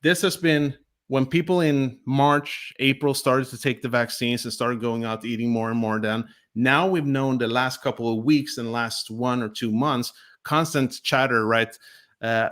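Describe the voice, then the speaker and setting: A man's voice: mid-range voice, a bit bright. Rambling man tagging "right?". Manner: rambling, frequent "right?" tags. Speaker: co-host. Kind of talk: casual investor livestream chat.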